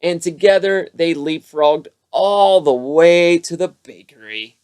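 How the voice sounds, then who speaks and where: A narrator reading a children's bedtime story aloud with expressive, emphatic delivery. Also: medium pitch, slow pace, clear, resonant tone.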